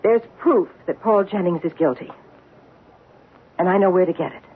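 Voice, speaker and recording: A woman's voice: on the low side, moderate pace, background noise, close to an everyday voice. An actress in a radio drama playing an earnest, determined detective's wife who investigates on her own. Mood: earnest, resolute. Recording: muffled lo-fi radio broadcast.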